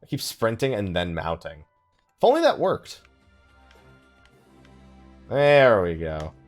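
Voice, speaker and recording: A man giving casual let's play commentary, rambling and thinking out loud with mild exasperation. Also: fairly low pitch, huge pitch swings, slow. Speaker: gaming video host. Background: game music.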